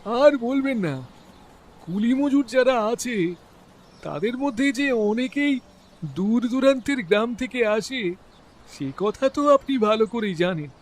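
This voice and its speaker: A man's voice: very high voice, animated, unhurried, long pauses, heavily acted. A man playing an earnest, defensive labour contractor explaining himself in a dramatized audio detective story.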